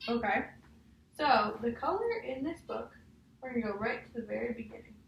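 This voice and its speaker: Higher-voiced woman teacher in a roomy space. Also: expressive, moderate pace, gravelly. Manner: guiding, often ends statements with a checking "huh?". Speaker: teacher leading a read-aloud. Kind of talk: discussing a picture book with a child. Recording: roomy, distant mic, faint noise.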